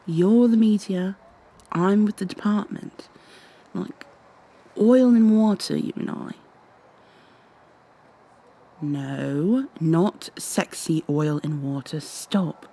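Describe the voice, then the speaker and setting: A woman's voice: lowish voice, takes it slowly, long pauses, mellow. A woman playing a proud, exasperated goo girl special agent with prim, formal wording in an ASMR roleplay. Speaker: voice actor in an audio roleplay.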